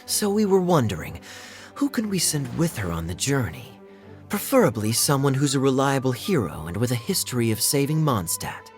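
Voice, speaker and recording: An actor voicing a suave, wry adult knight in a video game. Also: male voice, medium pitch, big pitch swings, moderate pace, faint music behind, lightly acted.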